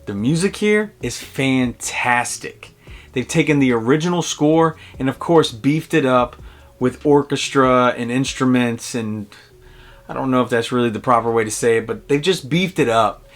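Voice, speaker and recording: A man's voice: medium pitch, normal speed. A man giving a casual, enthusiastic video game review in a conversational way. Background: game music behind the voice.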